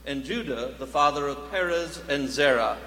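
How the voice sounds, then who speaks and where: Higher-voiced man reciting scripture. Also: moderate pace, bright, forceful tone, a little hiss or hum. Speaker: scripture reader in church. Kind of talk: dramatic group scripture reading. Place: large church sanctuary. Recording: long church hall reverb.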